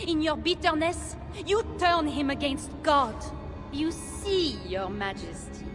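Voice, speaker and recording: Fanatical holy zealot, female, high-pitched, grandiose, cruel, menacing, video game, music behind. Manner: menacing, grandiose, slow and deliberate. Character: fanatical cruel female religious zealot interrogating a captive queen. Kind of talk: dramatic video game acting. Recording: long hall reverb, loud music behind.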